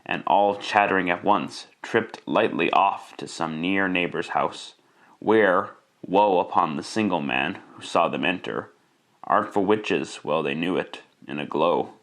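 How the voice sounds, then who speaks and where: A man's voice: low-pitched, a little slow, fairly bright tone. A man playing the storyteller of a Victorian Christmas tale, reading scripted narration in classic radio style. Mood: measured storytelling.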